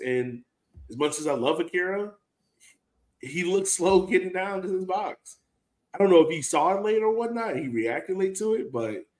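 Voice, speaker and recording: A man with a mid-pitched voice hosts a soccer fan show. He talks casually and conversationally, leaning on filler words as he breaks down play like a supporter. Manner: conversational, heavy use of "like" and "you know". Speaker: soccer fan podcast host. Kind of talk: casual sports talk, breaking down a match.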